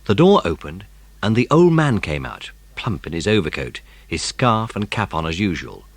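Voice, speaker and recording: A man's voice: sits low, big pitch swings, normal speed, no room sound. A man narrating a children's story in a dramatised audiobook style.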